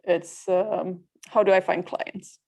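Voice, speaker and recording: A woman from Finland whose first language is Swedish, a freelancer guest on a podcast, speaking in a plain, practical way with frequent pauses. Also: fairly low pitch, steady tone, vocal fry, darkish tone. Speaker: guest, freelancer community co-founder. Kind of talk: podcast interview.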